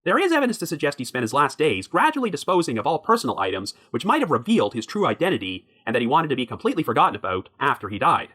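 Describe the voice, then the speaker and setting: A man hosting a podcast, narrating a true crime story from a prepared script in a measured way. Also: fairly high pitch, huge pitch swings, sharp tone, husky. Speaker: podcast host.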